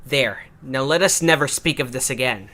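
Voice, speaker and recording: A man's voice: mid-range voice, normal speed, bright, forceful tone, a little hiss or hum, close to an everyday voice. A man playing a bossy, scornful young creature who wants to lead, haughty and impatient, in an amateur audio drama. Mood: exasperated.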